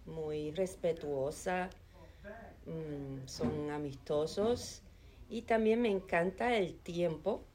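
Middle-aged woman, low voice, unhurried, earnest interviewee. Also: a crowd in the background. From Chicago, United States. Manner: calm and earnest, with hesitation fillers. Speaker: interviewee, nonprofit administrative assistant. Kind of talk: conversational interview answers.

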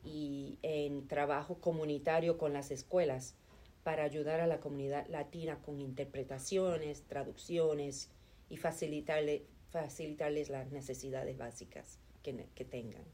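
A middle-aged woman from Chicago, answering interview questions calmly and earnestly, with hesitant fillers. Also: low voice, moderate pace. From Chicago, United States. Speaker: interviewee, nonprofit administrative assistant.

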